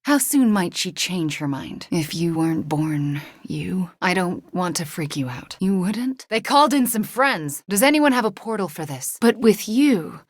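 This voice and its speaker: A young sorceress heroine from a video game, speaks in a slightly low voice, recorded very dry. She is earnest and tentative, with soft, breathy phrasing. Guilt and a wry streak color the way she talks. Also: animated, a little slow, few pauses, no echo. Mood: reflective.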